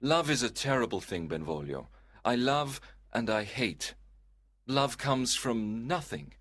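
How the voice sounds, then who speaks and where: Man playing a slow, mournful lovesick youth. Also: mid-range voice, lively intonation, a bit bright. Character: melancholy, lovesick young nobleman pining hopelessly. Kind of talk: storybook dramatization.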